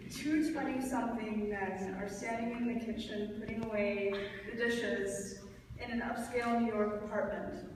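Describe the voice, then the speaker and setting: A young woman reading stage directions as narrator of a live, impromptu play reading, a little halting with corrections. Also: fairly high pitch, steady tone. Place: large reverberant room at a live reading event. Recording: echoey hall from far off, noisy.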